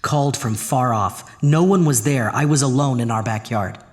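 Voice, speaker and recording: A man's voice: mid-range voice, moderate pace, darkish tone, lightly acted. A man playing an anxious, guilt-ridden suburban husband in a horror audio drama. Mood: haunted, regretful. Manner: confessional narration breaking into frantic outbursts. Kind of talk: horror audio drama acting with first-person narration.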